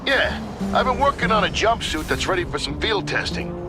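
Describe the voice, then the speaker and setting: Male engineer, high for a man, folksy, informal, loud music, game cinematic. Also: very animated, moderate pace. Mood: eager. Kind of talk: video game cinematic acting.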